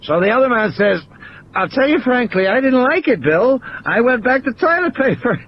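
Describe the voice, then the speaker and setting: A man's voice: extremely high pitch, big pitch swings, moderate pace, muffled recording, a little hiss or hum, pitched above the performer's own voice, heavily acted. A man voicing a droll, complaining injured male mathematician on painkillers in audio play dialogue. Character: male injured mathematician, wry, drugged, joking.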